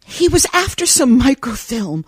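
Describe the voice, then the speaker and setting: An actress playing a distraught, pleading femme fatale in 1940s radio drama style. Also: female voice, high-pitched, very animated, unhurried, husky, dry recording, clean studio sound, full character voice. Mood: bewildered, anxious.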